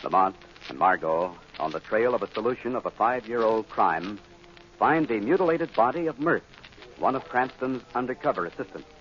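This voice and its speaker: A man's voice: fairly low pitch, expressive, normal speed, fairly bright tone. An American man giving dramatic scripted narration as the announcer of a radio drama. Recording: muffled old radio recording.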